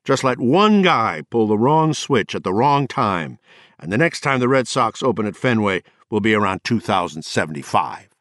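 Guy doing a drunk bitter poet, sneering and loud, deep-ish voice that swings around a lot. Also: big pitch swings, moderate pace, no room sound, clean studio sound. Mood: grim warning. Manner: mocking, sardonic, raising his voice. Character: drunk, bitter, eloquent male poet ranting.

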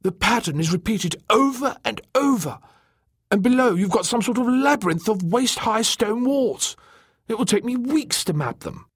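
High squawky fussy fat little mapmaker man for an audiobook, excitable, super eager, dry sound. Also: normal speed, slightly rough, no room sound, exaggerated voice. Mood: excited, eager. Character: small fat fussy excitable male mapmaker, stubbornly optimistic. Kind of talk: audiobook character dialogue.

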